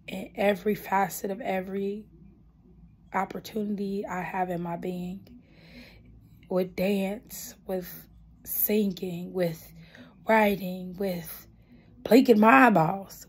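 A hoarse young woman speaking softly and earnestly about her faith, half whispering at times, filmed close on a phone in a small dim room. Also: mid-range voice, slight background noise. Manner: soft-spoken, earnest, partly whispered. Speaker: gospel singer. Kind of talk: spoken testimony then a cappella gospel singing. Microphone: phone camera held close.

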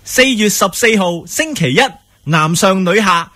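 Hong kong cantonese guy doing a radio jingle announcement, super high voice, slow and stretched out. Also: animated, pushed and bright, no echo. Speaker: programme announcer. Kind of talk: drawn-out dramatic radio segment announcement.

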